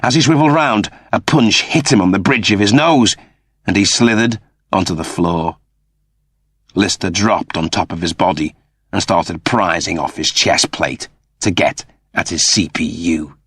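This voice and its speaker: A man reading a scripted story as an expressive audiobook narrator. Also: deep voice, a little slow, husky, no echo, clean studio sound.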